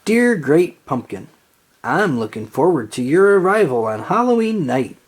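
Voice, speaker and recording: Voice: mid-range voice, sing-song, unhurried, slightly rough. A storybook reader playing an earnest young boy who explains things with devotion.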